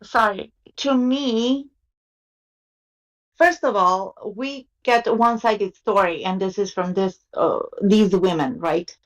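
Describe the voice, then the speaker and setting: A woman's voice: mid-range voice, takes it slowly, poor audio. A woman co-hosting a podcast, reasoning through her views and asking others to agree, and at times reading a book passage aloud. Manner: analytical, asks for agreement. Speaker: podcast co-host and reader. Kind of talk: casual discussion and reading aloud.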